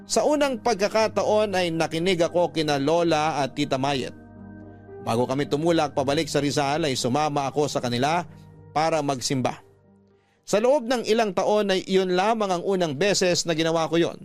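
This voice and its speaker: A reflective young man played by an actor narrates a personal confession in radio-drama style. His voice is fairly high and earnest, over faint background music. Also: moderate pace, close to an everyday voice.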